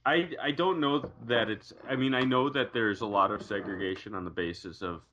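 A man with a somewhat low voice talking on a podcast, hesitant and hedging, restarting often. His tone is bright. The audio is dull and lo-fi. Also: moderate pace, slight background noise. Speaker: podcast co-host. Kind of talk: casual chat about a TV show.

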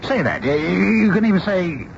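A man's voice: high-pitched, big pitch swings, brisk. An exasperated father, voice swinging widely. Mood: exasperated, scheming. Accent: American. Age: middle-aged. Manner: insistent, stammering, repeating himself. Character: bossy, exasperated father of a bride, middle-aged man. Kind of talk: radio drama acting. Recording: dull, hissy old radio broadcast.

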